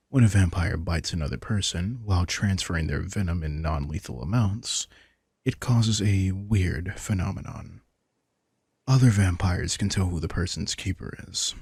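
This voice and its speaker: A man voicing a possessive, teasing vampire in an intimate audio roleplay, smug and softly commanding. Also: sits low, creaky, breathy edge, dry recording, full character voice. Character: possessive, teasing male vampire with hypnotic powers. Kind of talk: intimate scripted audio roleplay addressed to the listener.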